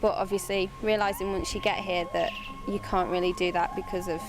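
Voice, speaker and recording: A woman's voice: mid-range voice, flat delivery, brisk, over music. A woman from England, a volunteer, speaking reflectively.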